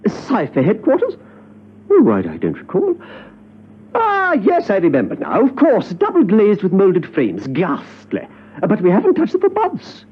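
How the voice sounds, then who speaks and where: An actor playing a fussy, effusive and exacting boss of a window-cleaning firm in a radio drama, with theatrical, hesitant manner. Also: male voice, high-pitched, wildly swooping pitch, normal speed, slightly rough, exaggerated voice. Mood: fussily apologetic. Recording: dull lo-fi vintage radio recording.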